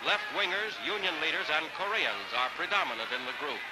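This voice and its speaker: A man's voice: a little high, lively intonation, a little fast, loud music behind the voice, poor audio. A man reading scripted newsreel narration in a formal, clipped announcer delivery on a vintage 1940s soundtrack.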